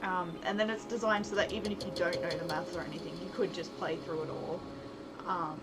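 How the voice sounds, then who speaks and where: A woman's voice: fairly low pitch, steady tone, a little fast. A woman hosting a casual livestream, thinking aloud in an informal, rambling way and often interrupting herself as she works. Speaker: livestream host, writer and game developer. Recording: very noisy live stream audio.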